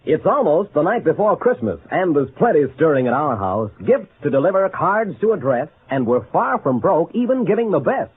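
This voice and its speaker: Animated vintage radio ad man, tinny recording. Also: on the high side, normal speed, few pauses, soft background music. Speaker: commercial announcer. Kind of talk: rhyming 1940s radio advertisement.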